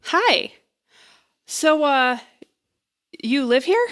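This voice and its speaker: A woman's voice: high voice, very animated, drawn-out words, fairly bright tone, heavily acted. A woman playing a nervous, eager young woman in an audio drama, rambling and hesitant as she bluffs her way along. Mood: flustered, eager. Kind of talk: naturalistic audio drama acting.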